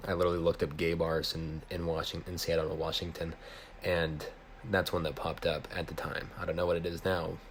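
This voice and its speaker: An American man with a low voice reads a story he wrote. He works from a written text as an amateur storyteller. There is faint noise in the home recording. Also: flat delivery, brisk, few pauses. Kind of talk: reading own written story aloud.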